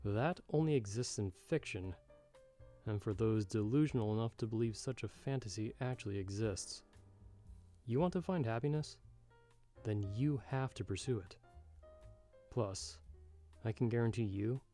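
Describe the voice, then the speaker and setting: A man playing a jaded, sardonic bartender in an audio roleplay, speaking in a measured, slightly formal, wry way over background music. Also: fairly low pitch, mellow, natural voice. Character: jaded, sardonic male bartender giving frank, fatherly advice. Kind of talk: ASMR roleplay, scripted monologue to the listener.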